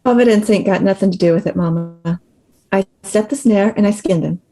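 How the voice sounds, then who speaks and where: A woman's voice: mid-range voice, a little fast, dark tone, lightly acted. A woman playing a scrappy, defiant rustic tomboy daughter at a screenplay table read, with blunt, folksy rural speech.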